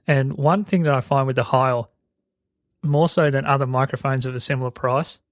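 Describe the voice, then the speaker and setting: A man's voice: medium pitch, steady tone, moderate pace, no echo. An Australian man with very sibilant speech, explaining things as a podcast host into a close broadcast microphone. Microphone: close broadcast dynamic microphone.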